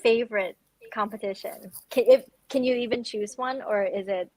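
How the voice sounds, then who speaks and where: A woman's voice: fairly high pitch, moderate pace, darkish tone, no echo, low-quality recording. A woman hosting an interview, asking long eager questions with restarts. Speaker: host.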